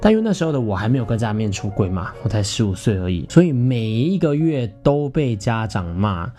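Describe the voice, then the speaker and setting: A man's voice: medium pitch, big pitch swings, brisk, warm tone. A young man with a Taiwanese Mandarin accent, hosting a podcast and telling a personal story expressively and conversationally, often turning to address his listeners. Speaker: podcast host. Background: background music, guitar.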